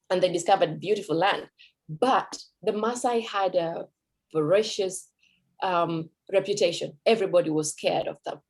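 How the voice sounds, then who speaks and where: A woman's voice: on the low side, creaky, darkish tone. A Kenyan woman whose first language is Kikuyu, giving a conversational talk at a cultural event as a Swahili teacher, with fillers and self-corrections.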